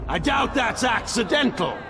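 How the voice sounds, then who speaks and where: A proud, scornful general addresses others in theatrical fantasy game style. His voice swings dramatically and has some gravel as he declaims with a commanding sneer over music. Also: very high-pitched, sing-song, slow, in a large hall, a crowd in the background, heavily acted. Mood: dismissive suspicion.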